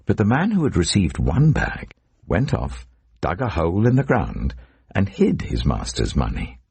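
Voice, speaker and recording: A man narrating scripture dramatically, in a solemn, deliberate way. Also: low voice, wildly swooping pitch, darkish tone, husky, dry recording. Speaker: Bible narrator.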